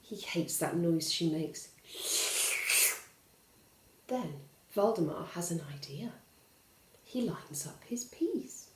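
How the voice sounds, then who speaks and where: Female children's storyteller: highly varied, expressive intonation, addresses young listeners, roomy recording. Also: takes it slowly, pauses often, slight background noise. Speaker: storyteller and host. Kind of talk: children's bedtime story reading.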